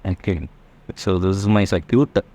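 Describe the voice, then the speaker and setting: A man giving a step-by-step tutorial as an engineering lecturer, halting as he works through math and checking that listeners follow. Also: low-pitched, dark tone.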